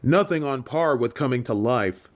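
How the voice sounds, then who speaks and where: A man playing a formal, dryly exasperated professor at a magic school in a fantasy audio drama, speaking in a measured way. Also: mid-range voice, lively intonation, close to an everyday voice. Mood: skeptical, exasperated.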